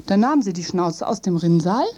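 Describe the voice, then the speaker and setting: A woman telling a fairy tale to children in an expressive, dramatic way. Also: on the low side, normal speed, muffled recording. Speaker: storyteller.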